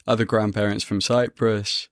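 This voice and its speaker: Relaxed man, low dry voice. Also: moderate pace, clear, resonant tone, no room sound. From London, England. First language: Greek (Cypriot). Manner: relaxed, chuckles between phrases. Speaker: guest. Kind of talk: casual podcast chat.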